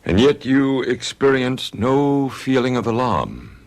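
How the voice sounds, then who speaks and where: An actor playing a calm doctor in a radio drama, measured and questioning. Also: male voice, medium pitch. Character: calm male doctor, a psychiatrist. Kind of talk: 1970s radio drama acting.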